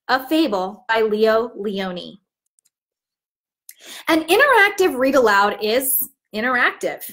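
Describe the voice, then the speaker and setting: Woman with lively, high-pitched teaching delivery. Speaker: teacher trainer. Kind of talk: instructional presentation with modeled storybook read-aloud.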